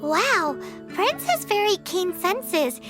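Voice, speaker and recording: A woman's voice: very high-pitched, big pitch swings, slow, exaggerated voice. A woman voicing a small, chatty floating companion in a video game who talks about herself in the third person. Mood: eager. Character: tiny floating female fairy-like companion, chatty and cheeky. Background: game soundtrack music.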